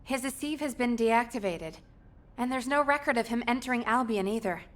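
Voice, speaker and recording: An actress playing a composed, businesslike military operator in a video game, formal and precise. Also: female voice, a little high, lively intonation, a little fast, a bit bright. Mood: serious, concerned.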